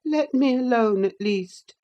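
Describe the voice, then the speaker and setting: A woman's voice: on the high side, takes it slowly, dark tone, pitched above the performer's own voice. Woman doing a whiny, sickly teenage boy. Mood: whining. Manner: whining, self-pitying. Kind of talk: audiobook character reading.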